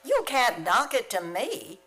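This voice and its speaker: A woman playing a wry, quick-witted high school English teacher in an old-time radio comedy. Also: wildly swooping pitch, fairly bright tone, slight background noise, exaggerated voice. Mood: dry, teasing.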